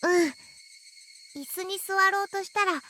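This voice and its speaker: Very high, sweet anime schoolgirl. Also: quick pace, a little hiss or hum. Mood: brightly reminiscing. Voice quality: childlike, girlish anime voice. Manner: cutesy echoed sentence endings, gentle. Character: sweet, timid, cheerful schoolgirl friend. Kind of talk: Japanese visual novel voice acting.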